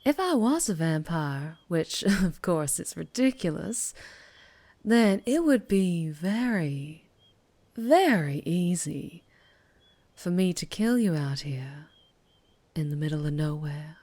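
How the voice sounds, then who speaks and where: A woman plays a mysterious vampire biker in an ASMR roleplay. Her voice sits on the low side and she takes her time with each phrase. She is teasing and menacing by turns. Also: animated, takes it slowly, warm tone, exaggerated voice. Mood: coolly menacing.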